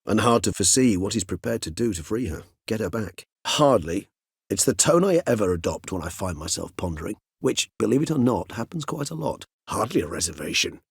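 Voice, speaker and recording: Husky, erudite ancient vampire gentleman. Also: on the low side, big pitch swings, dry recording, studio quality, exaggerated voice. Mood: thoughtful, courteous. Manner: eloquent, formal, wryly measured, precise. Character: urbane, erudite ancient male vampire, courteous and loyal. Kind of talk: video game character acting.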